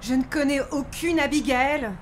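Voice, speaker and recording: A woman's voice: high voice, normal speed, a little hiss or hum, lightly acted. An actress playing an alarmed, indignant businesswoman, agitated and defiant, in an audio drama. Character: indignant, defiant woman insurance broker under attack. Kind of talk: audio fiction acting.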